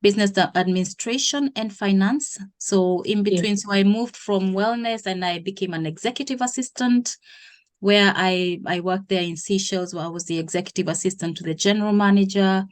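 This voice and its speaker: A woman's voice: mid-range voice, brisk. Kenyan-born woman explaining her career. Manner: hesitant, self-correcting, explains at length. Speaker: guest. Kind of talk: interview conversation.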